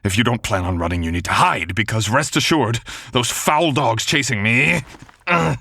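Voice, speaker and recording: A man's voice: mid-range voice, moderate pace, vocal fry, pushed and bright, slightly rough, exaggerated voice. A man voicing a young male knight, wounded, gallant and protective, speaking in an old-fashioned courtly way. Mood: commanding. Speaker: voice actor. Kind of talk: boyfriend ASMR audio drama.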